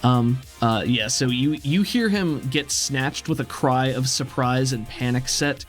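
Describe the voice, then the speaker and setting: A man's voice: mid-range voice, a little slow, faint music behind. A man working as game master, narrating a tabletop game with vivid description and casual asides.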